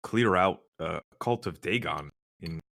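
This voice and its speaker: Man, player, hesitant, animated pitch, bright tone. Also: gravelly, no room sound, clean studio sound. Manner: hesitant, fills pauses with um. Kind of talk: casual chat during a tabletop game.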